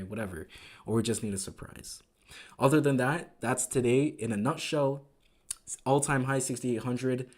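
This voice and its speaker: A man hosting a trading live stream, recapping the market in casual, upbeat talk that keeps asking "right?", his voice growing a little raspy. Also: mid-range voice, darkish tone. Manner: enthusiastic, repeats words, asks "right?" often. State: voice getting raspy.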